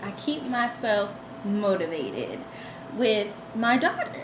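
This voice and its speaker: A woman's voice: medium pitch, very animated, slow. A woman from the United States, a guest poet in a casual interview, talking candidly and conversationally about personal things. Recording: echoey room with background noise.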